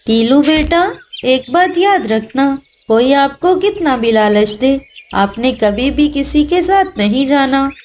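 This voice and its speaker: A woman's voice: high-pitched, expressive, slow pace, darkish tone. A woman voicing a caring, protective mother bird in a children's cartoon, motherly and firm. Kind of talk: Hindi children's cartoon voice acting.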